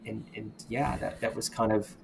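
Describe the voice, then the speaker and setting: A middle-aged man with a fairly low voice tells his own story as a podcast guest, halting and reflective, circling back and restarting his sentences. There is a faint hiss of noise under the recording. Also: steady tone, vocal fry. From United States. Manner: reflective, halting, with many restarts and "you know". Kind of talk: candid personal storytelling.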